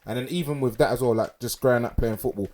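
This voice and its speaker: A man's voice: medium pitch, quick pace, dark tone. A man co-hosting a casual podcast, talking in an earnest, reflective way and filling with "like". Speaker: podcast host.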